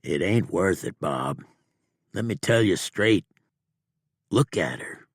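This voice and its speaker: A man voicing a cool, taunting male bounty hunter with a slow drawl in an audiobook. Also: sits low, expressive, breathy edge, no room sound, clean studio sound, exaggerated voice. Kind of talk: audiobook character voice.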